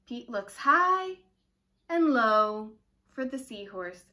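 Young woman doing story time for little kids, high voice, really expressive, takes it slow. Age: young adult. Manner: expressive, slow, dramatic delivery for young children. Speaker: children's story time reader. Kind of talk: animated read-aloud of a picture book. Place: living room indoors, by a brick fireplace.

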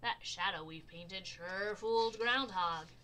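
Low drowsy badger guy, lady doing it, sly, storybook. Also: expressive, unhurried, pushed and bright, a little hiss or hum. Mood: smug.

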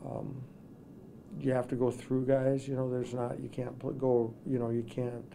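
A middle-aged man, a hockey coach, answering questions at a postgame press conference, talking in halting bits with many restarts. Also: mid-range voice, expressive, gravelly, dark tone, slight background noise. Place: press room with sponsor backdrop. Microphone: table microphones in front.

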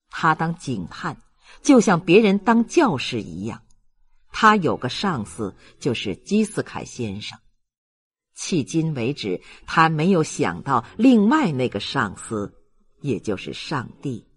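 A man's voice: on the high side, slightly rough. A man narrating a literary novel as a scripted audiobook reading.

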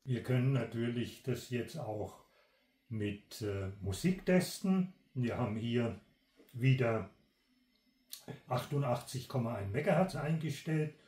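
Slow, halting older man in an echoey room. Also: medium pitch, animated, mellow. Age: 60s or older. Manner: halting, deliberate, with frequent hesitations. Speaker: presenter of a technical radio channel. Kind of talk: explanatory product demonstration. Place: small indoor room. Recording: echoey room, distant microphone.

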